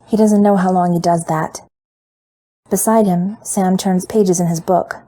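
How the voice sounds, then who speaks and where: A woman reading fan fiction aloud in a measured, intimate storytelling manner. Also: on the low side, fairly even intonation, warm tone. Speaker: podfic reader. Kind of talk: fan fiction audiobook narration.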